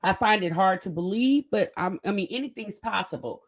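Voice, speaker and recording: A woman with a voice of middling pitch, hosting a casual reaction show. She speaks right to her audience in a chatty, animated way. She drags words out when she wants to stress a point. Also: brisk. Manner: animated, drags words out for emphasis, talks straight to viewers. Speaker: host of a reaction commentary channel. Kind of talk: casual reaction commentary to viewers.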